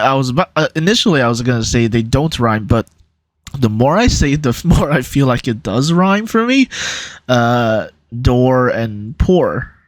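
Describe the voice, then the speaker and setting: A young man from Hong Kong with a neutral, American-leaning accent, chatting through a quiz at home. He rambles and hesitates, often pausing with fillers, at a middle pitch. The sound is dry and close, with almost no room echo. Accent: neutral American-ish. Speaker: YouTuber. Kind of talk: casual quiz commentary.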